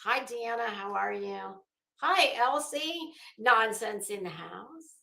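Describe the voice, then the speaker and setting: Bright-voiced, slow-paced woman livestreamer. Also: on the high side, lively intonation, creaky. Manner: blunt, sarcastic, salty-tongued, emphatic. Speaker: livestream host. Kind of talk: casual livestream chat and commentary, greeting viewers. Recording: roomy, echoing home recording.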